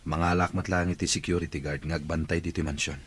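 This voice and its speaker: A man acting as a kindly, protective master of a mansion in a radio drama, speaking with measured authority and an Ilocano accent. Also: low-pitched, level tone, slightly rough. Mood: protective, firm.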